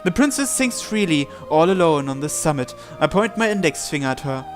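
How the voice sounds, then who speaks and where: A man with a fairly high voice narrates a visual novel as he plays. He reads the text expressively and drops in casual remarks, with music behind him. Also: big pitch swings, a little fast. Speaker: gamer hosting a visual novel playthrough. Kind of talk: reading game text aloud with casual commentary.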